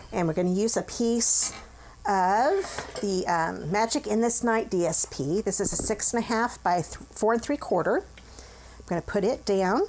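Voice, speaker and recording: A woman's voice: medium pitch, darkish tone, a little hiss or hum. A middle-aged woman from the United States, hosting a chatty, upbeat craft tutorial from her home office and talking while she works. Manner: chatty, upbeat, talks while working with hands. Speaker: craft demonstrator and channel host. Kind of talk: friendly step-by-step craft tutorial.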